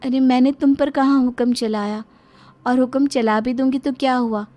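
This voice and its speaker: A woman voicing a naive, gentle and dutiful young village daughter-in-law in a cartoon story. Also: high-pitched, fairly even intonation, moderate pace, voice pushed up, close to an everyday voice. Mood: earnest.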